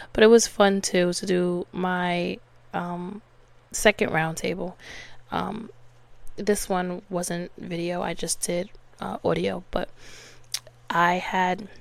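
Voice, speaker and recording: A young woman hosting her own podcast, hoarse from losing her voice, chatting casually and rambling with lots of fillers. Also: fairly low pitch. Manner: rambling, filler-heavy, relaxed. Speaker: podcast host. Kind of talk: casual solo podcast chat.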